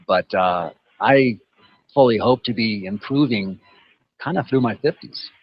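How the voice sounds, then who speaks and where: A man's voice: on the low side, a little slow, breathy edge, no echo. A man in his fifties answering a panel question casually, often adding "you know" and "right". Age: 50s. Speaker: athlete guest. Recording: dull dry audio.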